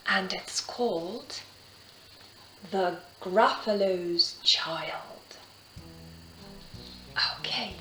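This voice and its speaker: A woman reading and chatting to children like a teacher, in simple, expressive phrases with dramatic pauses. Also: medium pitch, reverberant, slight background noise, rough recording. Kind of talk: children's storytelling, gentle chat and narration.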